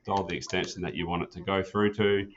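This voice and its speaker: Low-voiced man giving a casual tutorial. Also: steady tone, fast talker. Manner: casual, plain-spoken, practical. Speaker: instructor demonstrating an office phone system. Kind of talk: informal spoken product tutorial. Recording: dull, muffled lo-fi sound.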